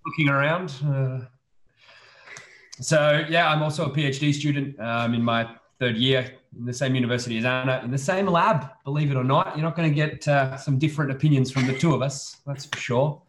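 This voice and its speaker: A man's voice: medium pitch, brisk, a bit bright, roomy sound. A young adult man, a PhD student guest, chatting casually with dry jokes. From Australia. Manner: joking, dry. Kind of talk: casual chat.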